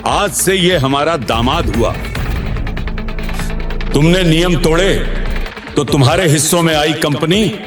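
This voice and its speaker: Male actor as stern domineering man, commanding, raised pitch, audio drama, background music. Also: unhurried, pauses often, close to an everyday voice. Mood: stern, angry. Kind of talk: Hindi audio drama acting.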